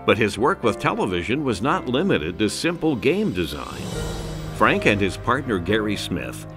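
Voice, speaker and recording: A low-voiced man narrates a documentary from a script. His delivery is very animated in pitch, and music plays behind him. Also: normal speed.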